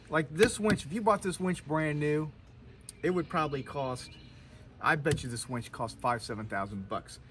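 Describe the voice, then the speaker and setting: A man's voice: fairly high pitch, noisy background. A man in his fifties vlogging casually outdoors on a sailboat at a marina, rambling and correcting himself as he talks. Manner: rambling, self-correcting, conversational. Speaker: boat owner vlogger. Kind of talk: casual vlog talk.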